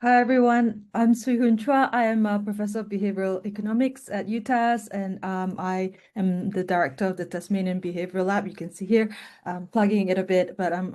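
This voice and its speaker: A woman's voice: mid-range voice, dark tone. A woman from Malaysia, an economics professor, introducing herself chattily on a video call.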